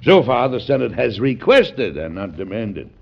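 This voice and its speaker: An actor playing a principled, resolute American president in an old-time radio history drama, speaking formally and emphatically with an American accent. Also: male voice, medium pitch, big pitch swings, moderate pace, creaky, breathy edge, exaggerated voice. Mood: wry. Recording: dull, lo-fi classic radio recording.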